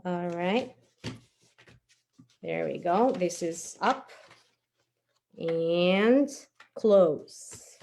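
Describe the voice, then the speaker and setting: A woman's voice: fairly low pitch, very slow, vocal fry, pauses often, darkish tone, a little hiss or hum. A woman presenting a children's craft tutorial step by step, informal and encouraging as she talks while working with her hands.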